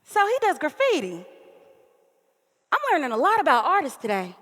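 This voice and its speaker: A chatty, sassy young American woman, acted by a woman on stage, with a bright forceful voice. Her casual talk swings up and down in pitch and rings through a theatre hall. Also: big pitch swings, moderate pace. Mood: friendly, curious. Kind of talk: casual urban stage-play dialogue. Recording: stage hall with long reverb.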